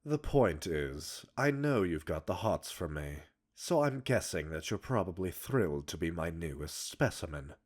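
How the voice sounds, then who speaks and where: A man's voice: low-pitched, sing-song, normal speed. A man playing a smug, arrogant male professor in an ASMR roleplay, erudite and teasing, flirtatious and condescending as he talks like a scientist. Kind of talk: ASMR roleplay audio drama.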